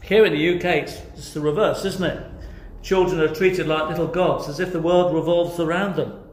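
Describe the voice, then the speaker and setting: A man's voice: on the high side, fairly even intonation, moderate pace, slight background noise. A man with an English accent preaching a sermon earnestly, putting questions directly to his listeners. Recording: echoey, reverberant room sound.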